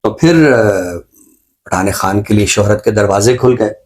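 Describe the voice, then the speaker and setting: Low-voiced Pakistani man, scholarly and measured. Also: darkish tone. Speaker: literary show presenter reviewing a book. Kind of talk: unscripted book review talk.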